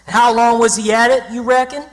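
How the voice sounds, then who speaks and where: A cocky boy schemer from a radio drama , acted by a man, with a rural Southern American drawl. The voice is high and bright, and he lays down his plans emphatically. Long reverb surrounds him. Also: flat delivery, brisk. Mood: stubborn, lecturing. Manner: emphatic, know-it-all explaining. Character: cocky, scheming boy adventurer, bossy and stubborn. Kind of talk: radio theatre acting. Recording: long reverberant hall sound.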